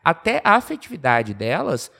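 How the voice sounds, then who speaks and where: A man's voice: fairly high pitch, wildly swooping pitch, normal speed, gravelly, pushed and bright. A man in his twenties with a Brazilian Portuguese accent, co-hosting a casual podcast and explaining his views with examples. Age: 20s. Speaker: podcast co-host. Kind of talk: casual chat.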